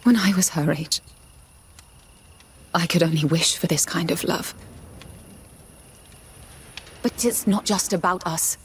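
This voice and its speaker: Slow, serene fanatical noblewoman. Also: fairly low pitch, slight background noise. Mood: solemn, reverent. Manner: solemn, reverent, measured. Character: serene, fanatical noblewoman, zealous would-be mother. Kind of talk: video game cutscene acting. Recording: loud music behind the voice.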